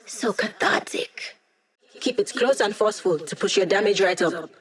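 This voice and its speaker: A woman's voice: mid-range voice, lively intonation, normal speed, a bit bright, close to an everyday voice. An actress voicing a snarky, upbeat young female hacker who gives tech support in a video game, with playful, wry, casual quips. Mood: playful, confident. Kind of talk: video game character voice lines.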